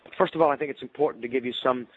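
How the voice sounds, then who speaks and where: A man's voice: mid-range voice, no echo. Man losing his voice on a phone line. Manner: measured, explanatory. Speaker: webinar presenter. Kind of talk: marketing research webinar presentation. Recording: tinny phone-line audio.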